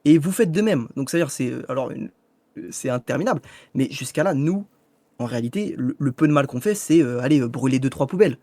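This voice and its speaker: Man, protester in a debate, mid pitch, earnest and pushy, dry sound. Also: animated, fast talker, warm tone, no room sound. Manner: earnest, argumentative, pressing his point.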